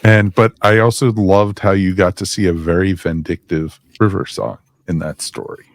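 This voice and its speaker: A man with a fairly low voice chats casually as a podcast panelist. The recording is dry, with no room around the voice, as he gives his review in an informal way. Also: normal speed, dry recording. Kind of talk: casual chat reviewing audio dramas.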